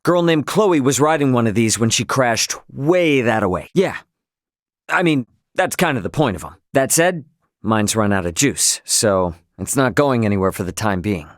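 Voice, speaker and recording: A man's voice: mid-range voice, expressive, moderate pace, dry recording, clean studio sound, lightly acted. A male actor playing a young, brash, easygoing but stubborn spaceship captain in a video game, with a casual, slangy, wry manner. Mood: breezy, casual. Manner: casual slangy, wry, loosely drawled asides. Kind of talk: English video game voice acting.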